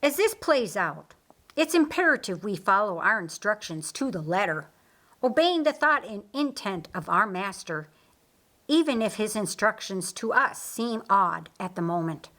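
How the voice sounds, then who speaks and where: A woman's voice: mid-range voice, sing-song, measured pace, a bit bright. A woman voicing an authoritative, loyal male archangel in an audiobook, explaining firmly and earnestly. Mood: commanding. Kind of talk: audiobook character acting.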